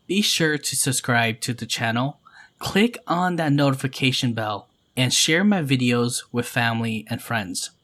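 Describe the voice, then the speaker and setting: A man hosting a storytelling channel, reading scary stories in a calm, measured way. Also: mid-range voice. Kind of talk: scripted narration of scary stories.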